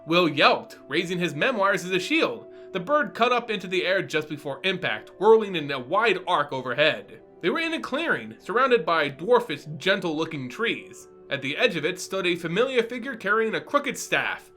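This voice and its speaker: Male storyteller, bright forceful tone, theatrical scripted narration over music. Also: high-pitched, moderate pace, hardly any pauses. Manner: theatrical, expressive. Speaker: host and storyteller. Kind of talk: dramatic scripted narration with channel intro. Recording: music under the voice. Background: background music.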